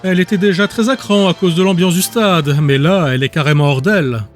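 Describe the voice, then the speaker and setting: Man doing a smug cruel trainer, higher voice, relaxed, taunting, condescending. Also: brisk, soft background music, close to an everyday voice. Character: smug, cruel male trainer, calm and mocking.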